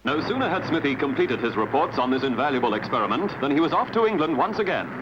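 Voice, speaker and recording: A man's voice: medium pitch, big pitch swings, fast talker, lo-fi sound, background noise. A man reading scripted documentary narration as a newsreel narrator. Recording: band-limited archive sound over music. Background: music.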